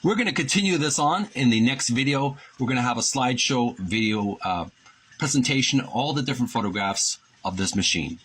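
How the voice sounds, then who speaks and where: A man's voice: mid-range voice, animated, fairly quick, fairly bright tone, a little hiss or hum. A man hosting a channel, reading passages from a book aloud and adding his own remarks, sometimes stumbling and correcting himself as he goes.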